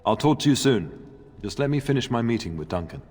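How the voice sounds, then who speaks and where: An actor playing a middle-aged noble lord and father in a video game, fond but grave, speaking in a formal, measured way. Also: mid-range voice, expressive, a little mellow, breathy edge. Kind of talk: video game cutscene acting. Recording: long reverb, music underneath.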